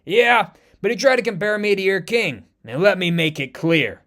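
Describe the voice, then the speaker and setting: A man's voice: sits high, normal speed, fairly bright tone. American Panther, a man playing a smug villain. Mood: taunting. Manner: smug and taunting. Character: cold arrogant male super-soldier villain.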